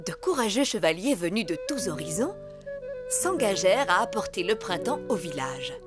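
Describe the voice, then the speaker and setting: A woman voicing a gentle turtle mother in a cartoon, reading a storybook aloud expressively and playfully. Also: medium pitch, sing-song, normal speed, music in the background, natural voice. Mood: gentle, storytelling. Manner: dramatic, playful.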